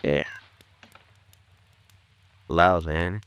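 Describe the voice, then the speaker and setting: Deep, smooth American cop. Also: male voice, steady tone, drawn-out words, fairly bright tone, no echo, exaggerated voice. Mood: weary, businesslike. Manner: folksy, probing, drops words and endings. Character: skeptical, plain-spoken male small-city police lieutenant. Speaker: police detective questioning a witness. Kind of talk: old-time radio noir detective drama acting.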